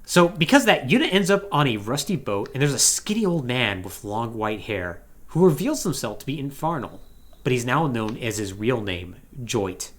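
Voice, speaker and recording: A man with a mid-range voice hosts a casual podcast. His pitch moves around a lot as he retells a story in an animated, conversational way. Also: normal speed. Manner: animated, conversational, acting out paraphrased dialogue. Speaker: podcast host.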